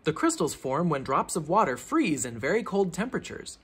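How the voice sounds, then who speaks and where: A man with a fairly high, husky voice reads aloud for children. His pitch swings in a very lively way, with theatrical emphasis and teacherly, explanatory phrasing. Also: moderate pace. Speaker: children's book reader, teacher. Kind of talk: expressive read-aloud of a children's science book.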